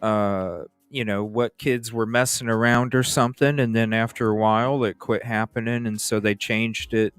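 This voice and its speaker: Male livestream host, lowish voice, rambling casual delivery, close dry sound, faint music underneath. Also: little pitch movement, moderate pace, few pauses, smooth, no echo. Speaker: host of a true-crime livestream. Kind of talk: casual case discussion.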